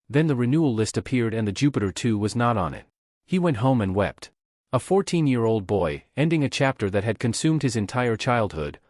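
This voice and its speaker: A man narrates a documentary script at a steady, measured pace. His voice sits in an ordinary middle register. The recording is dry and close, with no room sound. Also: medium pitch, no room sound.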